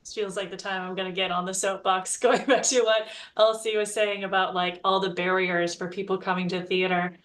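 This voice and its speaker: A woman's voice: mid-range voice, little pitch movement, a little fast, hardly any pauses, a bit bright. A woman giving a casual, good-humored explanation as a writer on a panel over a video call.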